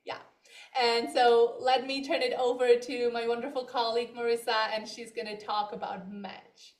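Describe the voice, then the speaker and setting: A woman's voice: on the high side, little pitch movement, normal speed. Casual woman advisor in an echoey room. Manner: friendly, casual, with fillers. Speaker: education advisor. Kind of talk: livestream presentation. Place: Moravia, Czech Republic.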